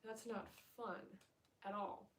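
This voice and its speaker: A woman's voice: mid-range voice, flat delivery, a bit bright, recorded from a distance, slight background noise. A woman from Minnesota with a Minnesotan accent, chatting casually and rambling on a livestream. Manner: rambling, chatty, fast talker. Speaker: ASMR livestreamer.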